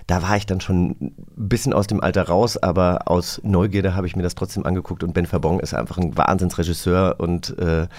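A man's voice: low voice, steady tone, fairly quick, few pauses, darkish tone. A man co-hosting a radio magazine with casual, conversational chat. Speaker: co-host of a radio play magazine.

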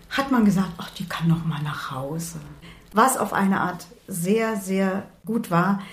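Calm Berlin-born woman, echoey room. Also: medium pitch. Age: 60s. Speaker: guest, author and retired nurse. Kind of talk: personal life story in conversation. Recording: roomy echo.

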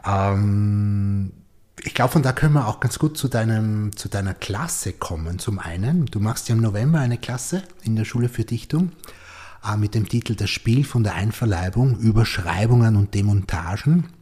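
Low, mellow-voiced male podcast host. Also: animated, normal speed. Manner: thinks aloud, often ends with a questioning "oder?". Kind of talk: reflective conversational interview.

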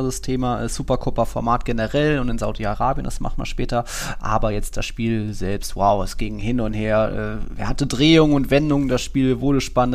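A man's voice: mid-range voice, creaky. A man, chatting casually as host of a football podcast.

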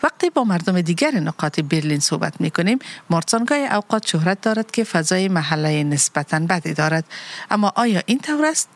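A woman co-hosting a community podcast, reading translations of the host's script at a brisk clip. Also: on the low side, big pitch swings, dry recording.

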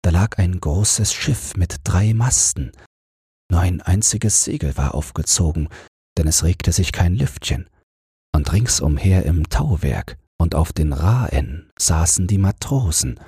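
A man with a husky voice narrating a bedtime fairy tale as an audiobook, unhurried and soothing. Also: lively intonation, dark tone, no echo, clean studio sound. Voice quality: husky, very low.

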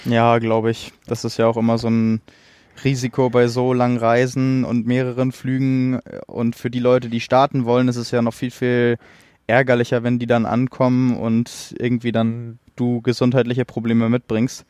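A man's voice: medium pitch, monotone, moderate pace, darkish tone, clear, resonant tone, no room sound. A man co-hosting a podcast in a casual chat, talking hesitantly with many restarts and fillers. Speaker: podcast co-host. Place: home studio.

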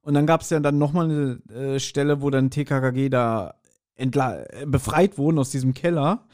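A man's voice: mid-range voice, moderate pace, a little mellow. A man chatting casually as a podcast co-host reviewing an audio drama, colloquial in his wording.

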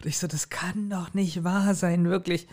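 A man chatting casually as a podcast co-host, telling personal stories in an animated way and often chuckling. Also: high voice, unhurried. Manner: animated storytelling, frequent chuckling. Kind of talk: casual chat, personal anecdotes.

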